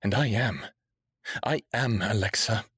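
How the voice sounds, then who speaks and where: An actor playing a defiant, mouthy human captive who yearns for freedom, in an intense, brooding audio drama style with first-person narration. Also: male voice, lowish voice, measured pace, a bit bright, slightly rough, dry recording, studio quality, heavily acted.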